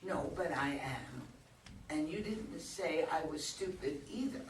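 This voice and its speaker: A woman playing a poor tenant who is wry, wheedling and pointed, speaking deliberately and insistently in a staged play reading. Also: deep voice, animated. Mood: indignant, sardonic. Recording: distant roomy live recording, background noise.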